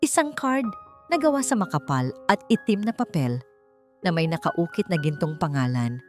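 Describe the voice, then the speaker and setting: Low-voiced Filipino woman narrating a story. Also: expressive, moderate pace, faint music behind. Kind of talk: scripted dramatic story narration.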